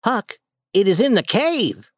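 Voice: medium pitch, big pitch swings, a little slow, no echo, clean studio sound, pitched above the performer's own voice. A storybook reader voicing a bold, eager young boy adventurer in a raised voice, confident and persuasive.

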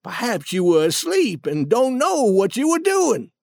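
A blustering older con-man king from a storybook, acted out by a narrator. He is indignant and accusing, pitched high, with animated swings in his delivery. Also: moderate pace, vocal fry, no echo, studio quality, heavily acted.

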